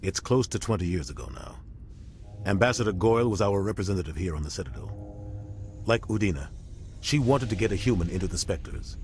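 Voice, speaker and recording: Give the captain a low, grave voice, middle-aged and dutiful, with weary honesty. He talks in an earnest, measured way, as in video game dialogue. Faint noise sits behind him. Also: expressive, darkish tone. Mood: grave, recounting.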